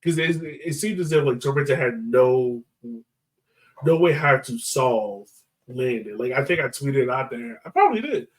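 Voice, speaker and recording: A man's voice: medium pitch, animated, normal speed, recorded from a distance, low-quality recording. Man chatting sports, slightly off the mic. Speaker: soccer podcast co-host. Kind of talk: casual sports chat.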